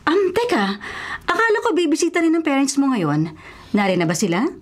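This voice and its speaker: A woman's voice: high-pitched, very animated, normal speed. A woman playing a fawning middle-aged rich mother in a Filipino radio drama, effusive and theatrical, with a Filipino accent. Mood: gushing, flattered.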